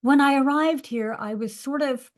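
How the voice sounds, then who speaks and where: A woman's voice: high-pitched, moderate pace. A woman with an American accent, a university lecturer, speaking on a webinar over a video call, with many filler words. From United States. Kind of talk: webinar talk.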